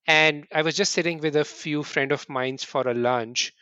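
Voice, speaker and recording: A middle-aged man from India, answering interview questions earnestly in quick bursts and often restarting with "right?". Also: fairly high pitch, fairly even intonation, bright, forceful tone, smooth, dry recording, muffled recording. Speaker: podcast guest.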